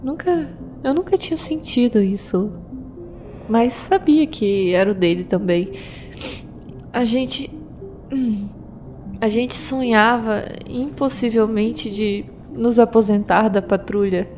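A woman with a Brazilian accent voicing a pregnant young fighter who talks with halting restarts, in an audio drama. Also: fairly high pitch, darkish tone, faint music behind. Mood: worried. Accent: Brazilian Portuguese. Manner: hesitant, stumbling restarts.

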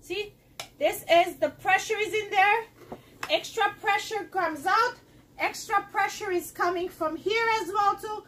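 Middle-aged woman, very high voice, excitable home-kitchen demo host, slightly off-mic. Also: measured pace, recorded from a distance, a little hiss or hum. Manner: chatty and excitable, talks straight to viewers. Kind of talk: live cooking demonstration. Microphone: camera across the counter.

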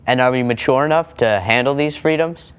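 A teenage boy co-hosts a TV show from a studio set. His mid-pitched voice stays steady and rehearsed through the scripted lines. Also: fairly quick, smooth. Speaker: co-host. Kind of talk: scripted TV presenting. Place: indoor TV studio.

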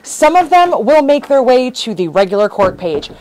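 Thirties woman, chatty shop owner on a live stream, quick to laugh. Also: a little high, big pitch swings, darkish tone. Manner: chatty, laughs often, jumps between topics. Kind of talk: casual live stream chat. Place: indoor sewing shop studio with bags on shelves.

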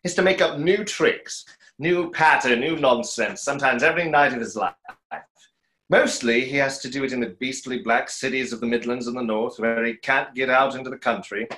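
A man's voice: medium pitch, moderate pace, pushed and bright, lightly acted. A man in his thirties playing a wistful, self-doubting stage conjurer in a play read over a video call, hesitant and reflective. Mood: wistful, storytelling. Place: small home room, on a video call. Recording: roomy echo of a video call.

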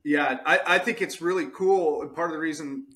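A man's voice: on the high side, fairly quick, bright, forceful tone. Earnest, emphatic man hosting a podcast. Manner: earnest, emphatic, repeats points, slangy with "bro" and "dude". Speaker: podcast host. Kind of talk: casual motivational podcast talk.

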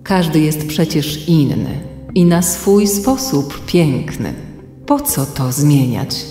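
A woman playing a calm, concerned internet guardian in a children's radio play, explaining things earnestly. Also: low-pitched. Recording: echoey long reverb, faint music.

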